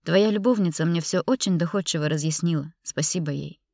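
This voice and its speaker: A woman's voice: on the low side, brisk, gravelly, darkish tone, dry recording, studio quality. A woman narrator playing a hurt, bitter young woman confronting her husband in an audiobook drama, emotional and indignant.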